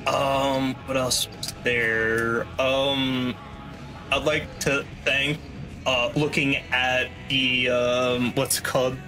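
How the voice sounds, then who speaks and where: A man's voice: medium pitch, level tone, slow, bright, forceful tone, rough recording. A man giving casual, rambling, wry commentary as the runner in a video game speedrun. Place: small room at home, indoors. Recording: game music behind the voice. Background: video game soundtrack music.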